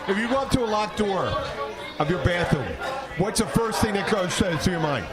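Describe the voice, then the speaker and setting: A man hosting a casual podcast, telling jokey bar stories in an animated, profane way, often with a laugh in his voice. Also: high voice, fairly quick. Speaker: podcast host and bartender. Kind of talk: casual bar banter and storytelling. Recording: noisy bar crowd behind.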